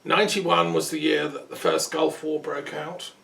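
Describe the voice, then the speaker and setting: A man hosting a relaxed interview, talking in a thoughtful, rambling way with asides. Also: fairly high pitch, moderate pace, creaky. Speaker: host. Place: peace museum building. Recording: roomy sound from a distance, faint noise.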